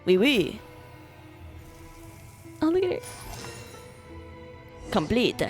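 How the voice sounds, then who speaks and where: A woman's voice: a little high, sing-song, extremely slow. A young woman livestreaming a game, commenting casually and playfully, exclaiming often and reading dialogue aloud. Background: game music.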